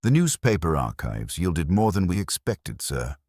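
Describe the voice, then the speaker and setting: A man's voice: low voice, sing-song, measured pace, darkish tone, no echo, clean studio sound, exaggerated voice. A man voicing a businesslike police sergeant who reports in a matter-of-fact way in a detective story. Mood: grave reporting.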